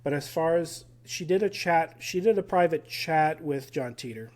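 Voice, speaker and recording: Higher-voiced man recalling details haltingly. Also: expressive, a little mellow. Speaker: guest researcher. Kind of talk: casual podcast conversation.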